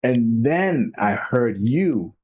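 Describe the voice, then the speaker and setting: A man's voice: mid-range voice, lively intonation, extremely slow, highs cut off, a little hiss or hum. A man co-hosting a podcast, chatting casually, often restarting and repeating words as he goes. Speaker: podcast co-host. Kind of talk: casual conversation.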